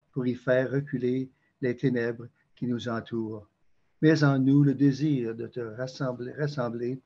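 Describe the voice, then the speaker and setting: A man's voice: mid-range voice, highs cut off. An older man with a Canadian French accent, answering interview questions hesitantly with many fillers and also leading a prayer. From Quebec, Canada. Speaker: interview guest.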